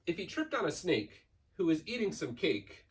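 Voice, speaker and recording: A man's voice: fairly high pitch, big pitch swings, normal speed, far from the mic, noisy background. A man giving a playful, theatrical read-aloud of a children's picture book, stretching key words and racing through piled-up lists. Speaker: school staff member reading a children's book aloud.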